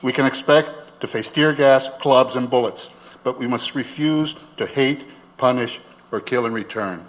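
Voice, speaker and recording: A man reading a written political text aloud with declamatory, deliberate phrasing. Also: mid-range voice, rough recording. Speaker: reader. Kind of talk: dramatic reading of a political editorial.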